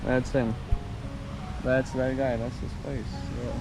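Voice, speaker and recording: A man's voice: mid-range voice, flat delivery, takes it slowly. A man with an Indian accent hosting a casual travel vlog, upbeat and chatty with fillers, talking while walking outdoors. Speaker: vlogger host. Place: outdoors at a botanical garden. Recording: dull lo-fi sound with loud music.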